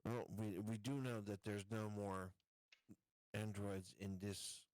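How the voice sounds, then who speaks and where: A man with a fairly deep voice takes part in a tabletop game. He weighs options out loud in casual, halting phrases. The sound is close and free of room echo. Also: flat delivery. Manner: halting, deliberating.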